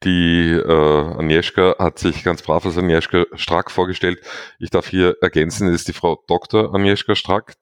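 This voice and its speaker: A man's voice: low voice, smooth, no room sound, clean studio sound. A man hosting a company podcast, talking casually with frequent repeated words. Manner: stammering repetitions. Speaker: podcast host, company manager. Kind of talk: podcast conversation.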